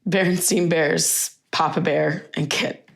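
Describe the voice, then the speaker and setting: Wistful young woman with a low-pitched voice. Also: steady tone, creaky, exaggerated voice. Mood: fond reminiscing. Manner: halting, conversational, wistful. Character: grieving daughter reminiscing fondly about her late father. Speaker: audio drama actress. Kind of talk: naturalistic audio drama monologue.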